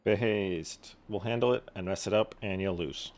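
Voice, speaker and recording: A woman's voice: extremely low pitch, normal speed, darkish tone. A woman streaming a game with offhand, terse, sarcastic commentary.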